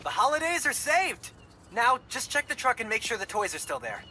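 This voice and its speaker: Animated, high-voiced young sidekick. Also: male voice, a little fast, background noise, heavily acted. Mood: supportive, excited. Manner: casual, supportive. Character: enthusiastic young tech-savvy best friend sidekick. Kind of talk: video game voice acting. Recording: music behind the voice.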